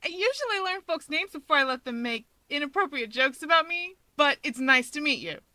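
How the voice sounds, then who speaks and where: A woman's voice: high voice, lively intonation, a little fast, pushed and bright, no echo, pitched above the performer's own voice. A woman voicing a cocky, sardonic young male hero with a flippant, wry manner in a story reading.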